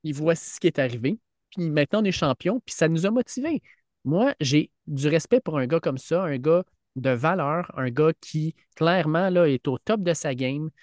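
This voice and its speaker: Quebec guy on a football podcast, earnest, stumbles and restarts, fairly high voice. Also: lively intonation, normal speed, a little mellow, no room sound, clean studio sound. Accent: Quebec French. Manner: earnest, many fillers and restarts. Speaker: podcast co-host, teacher. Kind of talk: casual sports chat. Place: podcast studio.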